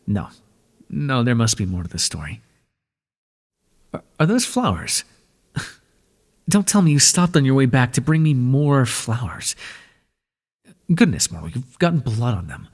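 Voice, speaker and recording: A gentle male god of the underworld from an audio roleplay, voiced by a man speaks at a medium pitch. He sounds concerned and lightly teasing. His voice trails off in a long hall reverb. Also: sing-song, a little slow, long pauses. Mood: fond, concerned.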